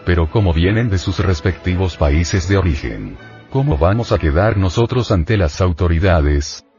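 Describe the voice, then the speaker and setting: Very deep-voiced man lecturing, dull lo-fi recording. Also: a little mellow. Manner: insistent, admonishing, rhetorical questions. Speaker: spiritual teacher lecturing students. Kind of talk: informal lecture to a group of students. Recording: dull lo-fi recording with faint music.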